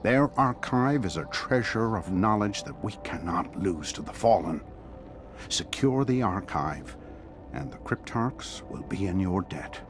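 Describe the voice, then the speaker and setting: An actor playing a formal, solemn figure who gives a grave mission briefing in a video game. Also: male voice, fairly low pitch, expressive, unhurried, over music.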